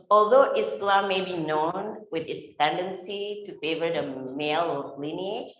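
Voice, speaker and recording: A woman from Indonesia with an Indonesian accent, telling her life story as a panelist in an unhurried way with many fillers. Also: on the low side, muffled recording. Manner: unhurried, frequent fillers, warm laughs. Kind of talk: personal storytelling at a symposium. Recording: echoey lecture hall.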